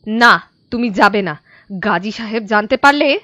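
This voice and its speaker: Actress as a bright-voiced, anxious nagging woman. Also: mid-range voice, a little slow, dry recording. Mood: anxious, urgent. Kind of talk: Bengali audio story acting.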